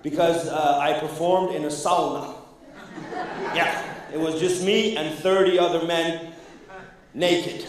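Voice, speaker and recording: A man's voice: on the high side, steady tone, slow pace, pauses often, background noise. A man from Iran doing a live stand-up comedy set, chatting with the crowd in a rambling way with lots of fillers and restarts. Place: comedy club stage before a live audience. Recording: echoey room, voice from far off.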